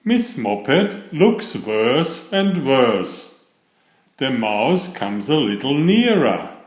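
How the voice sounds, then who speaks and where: Slow, lively male storyteller in a roomy space. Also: medium pitch. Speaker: storyteller reading children's picture books. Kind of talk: scripted children's story narration. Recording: roomy echo on the voice.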